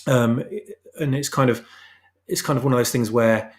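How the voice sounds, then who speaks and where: A man speaking as an author being interviewed, explaining casually in a halting but emphatic way, repeating phrases for stress. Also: mid-range voice, fairly even intonation. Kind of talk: casual explanatory chat about own story.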